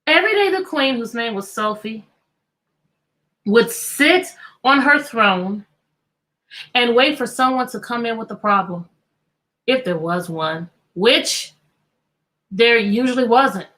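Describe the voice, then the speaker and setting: A woman's voice: fairly high pitch, expressive, slow pace, long pauses, bright, forceful tone. A woman teacher hosting an interactive children's read-aloud, telling the story expressively and putting repeated questions to her listeners.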